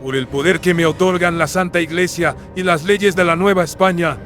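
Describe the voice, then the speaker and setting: A man playing a somber, fatherly friar in a horror radio drama, speaking with measured, ceremonious gravity through voice processing. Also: on the high side, steady tone, fairly bright tone, soft background music, pitched above the performer's own voice. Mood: solemn, ceremonious. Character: solemn, somber colonial friar, fatherly and resigned. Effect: processed voice filter.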